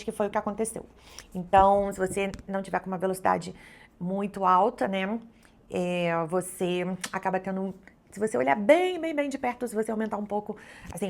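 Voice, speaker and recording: A woman's voice: mid-range voice, creaky, darkish tone. A middle-aged Brazilian woman, a travel vlogger and photographer, chatting casually to her viewers with lots of little fillers. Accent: Brazilian Portuguese. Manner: chatty, frequent "né" and "então" fillers. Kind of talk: casual chat to camera.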